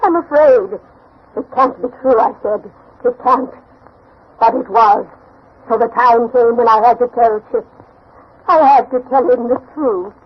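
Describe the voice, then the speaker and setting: A woman's voice: fairly high pitch, a little slow, pauses often. An actress playing a grieving, fearful elderly grandmother in a dramatic, emotional 1940s radio drama style. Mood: anguished, fearful. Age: older. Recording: muffled old radio broadcast.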